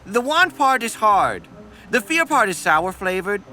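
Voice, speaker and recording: Wildly swooping crazed old sage. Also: male voice, high voice, big pitch swings, measured pace, sharp tone, heavily acted. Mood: pompous, rambling. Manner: rambling, whimsical, pompous, flippant. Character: crazed, evasive old male sage guiding child adventurers. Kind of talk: comedic animated parody voice acting.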